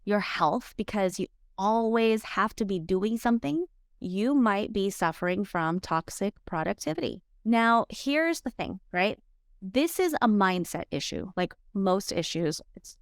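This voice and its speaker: A woman's voice: mid-range voice, no echo, studio quality. A woman originally from the Philippines hosting her own podcast, speaking in an earnest, encouraging way straight to her listeners. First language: Filipino language. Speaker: podcast host. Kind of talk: motivational solo podcast talk. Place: home office.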